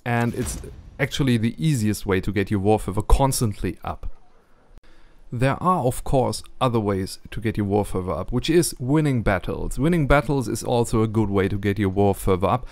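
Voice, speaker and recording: A man's voice: fairly low pitch, moderate pace, a little mellow, no echo. A man with a German accent, not a native English speaker, narrating a strategy game tutorial carefully, with frequent ums and restarts.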